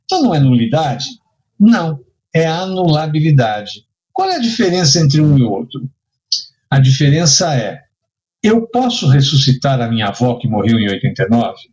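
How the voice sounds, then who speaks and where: A Brazilian man teaches in a conversational, didactic way. His voice sits a bit high for a man and swings widely in pitch. He walks through examples with rhetorical questions and often checks that the listener follows. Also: very animated, normal speed, a little mellow. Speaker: insurance and risk management instructor. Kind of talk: conversational lecture with hypothetical examples.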